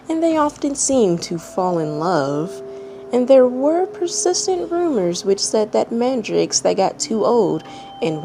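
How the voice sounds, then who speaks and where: A woman narrates a story with dramatic, read-aloud phrasing. Her voice sits low, with a heavy creak of vocal fry, and she takes her time over the words. The recording carries clear background noise. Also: a little mellow. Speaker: fan fiction reader and channel host.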